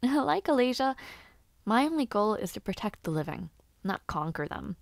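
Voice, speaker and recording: A lively young noblewoman who hopes to be a knight, acted by a woman for a fantasy audio roleplay. She talks in a mid-pitched voice, teasing and gossipy, with little hums and hesitations. The sound is dry and intimate. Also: normal speed, vocal fry, dry recording. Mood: thoughtful, earnest.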